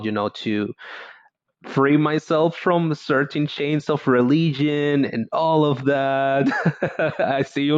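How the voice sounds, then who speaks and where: A man's voice: mid-range voice, no echo, highs cut off. A man from Venezuela with a Spanish accent, a guest in casual conversation, often hesitating and searching for words. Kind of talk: casual chat.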